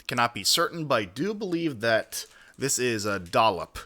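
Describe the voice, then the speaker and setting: Bright-voiced man hosting a livestream. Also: medium pitch, big pitch swings, moderate pace. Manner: wordy, roundabout, mildly formal turns of phrase. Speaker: streamer hosting a reaction stream. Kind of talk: casual livestream commentary.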